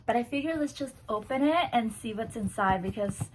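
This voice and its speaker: A woman's voice: on the high side, animated, a little fast, a little hiss or hum, low-quality recording. A woman chatting casually to camera as she unboxes a gift box, bubbly and giggly, seated on a bouncy ball at home. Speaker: vlogger unboxing a swap box.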